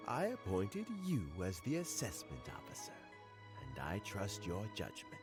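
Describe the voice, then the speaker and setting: Old fisherman elder, very deep voice, expressive rise and fall, measured and earnest, game music behind. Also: exaggerated voice. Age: older. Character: wise elderly fisherman and official, calm and fair. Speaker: elder who appoints an assessment officer. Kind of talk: game voice acting.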